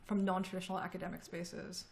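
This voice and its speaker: A woman's voice: lowish voice, little pitch movement, quick pace, gravelly, darkish tone. A woman in a casual conversation, speaking reflectively and supportively as a fellow graduate student.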